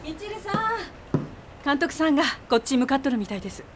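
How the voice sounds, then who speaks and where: Bustling innkeeper, Mikawa accent, high lively voice, homely, radio drama, faint noise. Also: female voice. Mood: helpful. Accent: Mikawa, Aichi. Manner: brisk, homely, nudging. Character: bustling, bossy, kindly island innkeeper woman. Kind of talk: Japanese radio drama acting.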